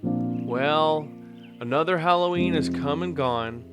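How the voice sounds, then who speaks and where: Narrator reading as a glum young boy, resigned, kindly, middling pitch, children's storybook, music clearly behind. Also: slow, close to an everyday voice. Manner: resigned, consoling.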